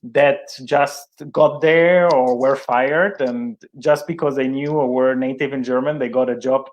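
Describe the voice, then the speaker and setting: A man's voice: medium pitch, fairly even intonation, measured pace, highs cut off. A young man speaking English as a second language, a guest in an interview, talking with many fillers and restarts. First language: not English. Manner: hesitant, many fillers and restarts, laughs at himself. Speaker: interview guest, sports event manager. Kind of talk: conversational interview.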